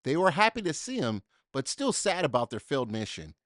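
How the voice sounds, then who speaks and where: Animated man reading a children's storybook. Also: fairly high pitch, no echo, studio quality. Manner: dramatic, deliberate phrasing with emphasis for young listeners. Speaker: storybook reader. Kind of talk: expressive children's story read-aloud.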